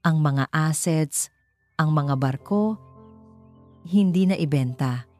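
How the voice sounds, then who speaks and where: A woman voicing a sad, loyal old accountant in an audio story, grave, gentle and confiding. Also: low-pitched, slow pace, soft background music. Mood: grave, revealing.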